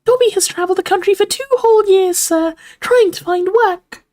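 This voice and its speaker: A woman voicing an eager, servile little male house elf in a storybook, with a squeaky, shrill voice and broken grammar, speaking of himself in the third person. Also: normal speed, a little mellow. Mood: cheerful, polite.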